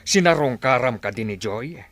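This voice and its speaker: A man's voice: mid-range voice, animated, moderate pace, fairly bright tone, soft background music, close to an everyday voice. An actor playing a stern, scolding middle-aged husband and father in an audio drama, with a Filipino accent. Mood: scolding, sarcastic.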